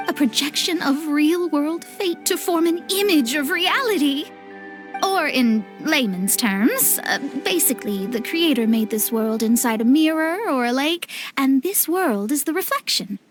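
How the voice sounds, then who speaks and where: A woman voicing a proud, dramatic young astrologer in a video game, wry and haughty in manner. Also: high-pitched. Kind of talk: video game cutscene acting.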